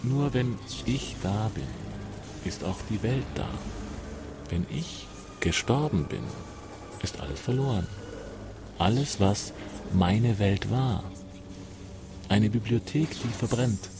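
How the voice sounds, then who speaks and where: A man's voice: fairly low pitch, animated, takes it slowly, pauses often, music in the background. An actor playing a reflective dying man in a radio drama, speaking in a sombre, matter-of-fact, philosophical way. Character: reflective dying man facing death calmly.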